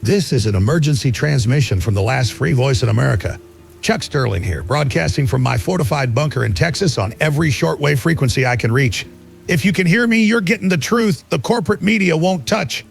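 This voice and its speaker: A man's voice: a little high, lively intonation, fairly quick, creaky. A man playing a paranoid, defiant American conspiracy broadcaster delivering urgent, emphatic emergency warnings in a radio drama. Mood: grave, conspiratorial. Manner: emphatic, clipped doomsday warnings. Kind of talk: emergency shortwave radio monologue.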